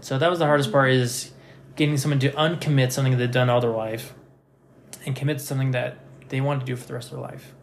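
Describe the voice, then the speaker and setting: A man in his thirties hosts a casual conversation, telling stories in an easy, self-deprecating way. His voice sits at a middle pitch. A faint ambient hiss runs behind him. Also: fast talker, vocal fry. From Nevada, USA. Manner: relaxed, anecdotal, self-deprecating. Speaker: podcast host.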